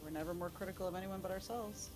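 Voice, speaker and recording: A woman's voice: on the low side, fairly even intonation, rapid-fire, darkish tone, soft background music. A woman chatting in an easygoing, reassuring way while co-streaming a game. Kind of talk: casual live-stream chat while gaming.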